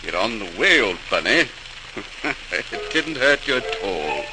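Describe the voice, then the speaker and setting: Man a kindly, coaxing lab scientist for old-time radio; animated, low-set pitch, noisy vintage recording. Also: slow pace. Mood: gentle, coaxing. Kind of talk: 1940s radio acting.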